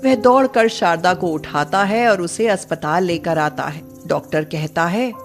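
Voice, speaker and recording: Slow-paced woman storyteller. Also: on the low side, soft background music. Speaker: narrator. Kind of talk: scripted storybook narration.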